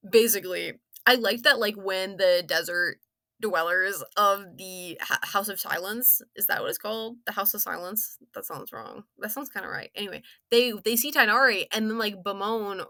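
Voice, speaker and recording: Young female game reviewer, bright forceful tone, playful and slangy, loose rambling commentary. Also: medium pitch, gravelly, no room sound, clean studio sound. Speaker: video game story reviewer.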